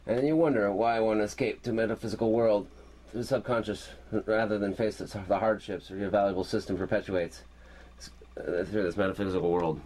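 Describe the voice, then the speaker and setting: A man's voice: fairly low pitch, steady tone, fairly quick, a little mellow, lightly acted. A man playing an embittered, rambling spaceship commander in an amateur science-fiction audio drama, agitated and defiant. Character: embittered male spaceship commander and struggling artist, ranting defiantly. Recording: very noisy home-made tape recording.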